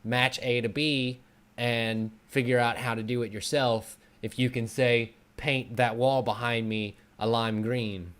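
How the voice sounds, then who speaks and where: A man's voice: mid-range voice, steady tone, a little slow, fairly bright tone, smooth. A man talking as a guest in a casual conversation, explaining things as an online video creator.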